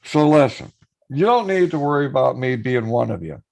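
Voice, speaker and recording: Guy live streaming, hoarse and gravelly, voice swings all over, chatting with his viewers. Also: medium pitch, lively intonation, normal speed, darkish tone. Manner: chatty, reads out viewer messages and greets them by name.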